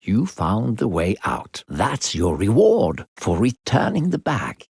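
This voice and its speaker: A sly, falsely kindly video game narrator who coaxes a child along in a low, gravelly voice. The delivery is theatrical and patronizing, swooping widely in pitch. It is recorded dry and close. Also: male voice, wildly swooping pitch, slow, darkish tone, no room sound, heavily acted. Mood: guiding, encouraging. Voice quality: gravelly, husky.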